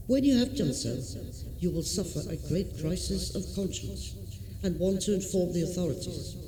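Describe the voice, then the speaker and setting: A woman playing a genteel, commanding Victorian lady ghost, reading her letter formally and with composure. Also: on the low side. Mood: courteous, vengeful.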